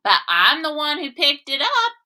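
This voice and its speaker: A woman voicing a tall, boastful, self-satisfied boy animal from a storybook in a smug, drawn-out way. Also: high voice, wildly swooping pitch, sharp tone, pitched above the performer's own voice, exaggerated voice.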